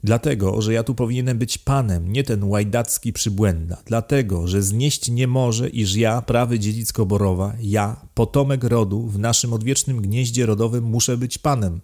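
A man voicing an excitable, contemptuous, deranged aristocrat count in an audiobook, imperious and given to emphatic outbursts. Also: on the low side, moderate pace, hardly any pauses. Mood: bitter, haughty. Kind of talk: dramatized audiobook reading.

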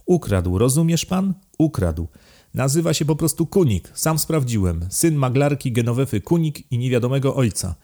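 A man voicing an excitable, contemptuous, deranged aristocrat count in an audiobook, imperious and given to emphatic outbursts. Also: medium pitch, a little fast, pitched above the performer's own voice, close to an everyday voice. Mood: furious. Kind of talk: dramatized audiobook reading.

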